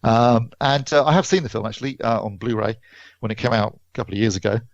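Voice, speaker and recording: A man co-hosting a podcast, chatting casually and haltingly about films, thinking aloud as he goes. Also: mid-range voice. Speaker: podcast co-host and film reviewer. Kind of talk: casual film release chat. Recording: dull, roomy lo-fi podcast audio.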